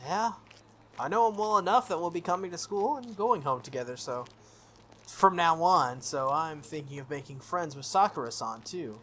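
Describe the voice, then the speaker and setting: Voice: mid-range voice, lively intonation, moderate pace, a bit bright, slight background noise, natural voice. The player of a visual novel voicing a confident teenage schoolgirl, polite and breezy with a cutting edge. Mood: poised, teasing.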